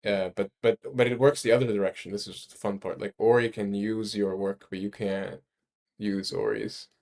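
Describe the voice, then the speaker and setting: A man explaining his ideas in a casual discussion, halting and rephrasing emphatically as he goes. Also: fairly low pitch, little pitch movement, creaky, darkish tone. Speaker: speaker explaining a research ranking system.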